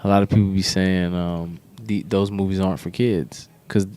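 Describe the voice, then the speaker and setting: A man's voice: deep voice, steady tone, normal speed, warm tone, smooth, dry recording. An American man co-hosting a casual podcast chat in a slangy, informal way. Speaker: podcast co-host.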